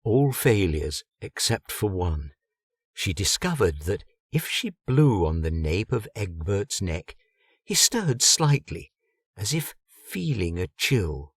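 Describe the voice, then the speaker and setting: A man reading an audiobook in a measured way with dry humour, close on the mic. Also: deep voice, sing-song, slightly rough, dry recording. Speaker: audiobook narrator. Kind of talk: scripted narration.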